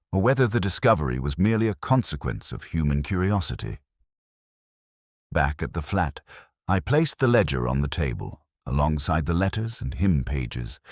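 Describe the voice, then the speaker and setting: A man playing a weary police inspector, narrating his case in a measured, reflective and solemn way. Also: rumbling low, no room sound, clean studio sound, exaggerated voice. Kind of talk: slow scripted first-person audiobook-style narration.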